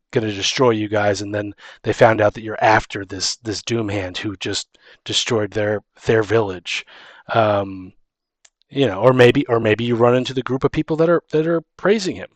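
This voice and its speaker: A man's voice: lowish voice, fairly even intonation, normal speed, no room sound. A man hosting a casual tabletop gaming chat, rambling and restarting his phrases as he gives advice as a dungeon master. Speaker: podcast host and dungeon master.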